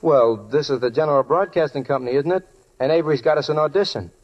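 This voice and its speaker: Naive kid brother, played by a man, fairly high, earnest, boyish, 1940s radio drama, muffled. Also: fast talker, close to an everyday voice. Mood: eager. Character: eager, naive young kid-brother singer, easily flustered.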